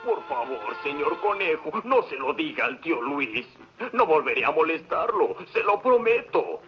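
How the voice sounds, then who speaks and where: A small, grumpy, scheming cartoon foe with a Latin American Spanish accent. His high voice swings widely in an excitable, theatrical way. The old soundtrack is dull, with music behind him. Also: normal speed. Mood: pleading. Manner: excitable, swinging from sly to pleading. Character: grumpy little bald man, gullible, scheming cartoon foe. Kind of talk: theatrical cartoon dub acting.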